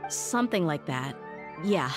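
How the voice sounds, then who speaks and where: A woman's voice: deep voice, very animated, unhurried, heavily acted. An actress voicing a loyal, candid and guarded young female mercenary bodyguard in an anime game. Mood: hesitant. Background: music.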